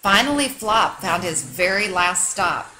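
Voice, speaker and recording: A woman reading a children's picture book aloud like a teacher, with expressive, dramatic storytelling for young listeners. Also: lowish voice, a little slow, poor audio.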